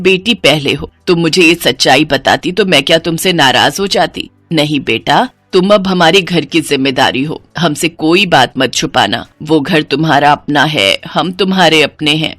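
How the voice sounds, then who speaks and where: A woman's voice: low-pitched, lively intonation, normal speed, a bit bright, dry recording, faint music behind, voice pushed down. A woman voicing an older mother-in-law, firm but affectionate and instructing, in a cartoon story.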